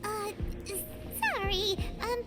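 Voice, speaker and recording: A woman voicing a tiny, childlike, nervous fairy companion in a video game, with a squeaky voice and hesitant manner. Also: animated, extremely slow, exaggerated voice. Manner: speaks of self in third person, hesitant. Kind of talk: video game character acting. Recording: loud game music behind.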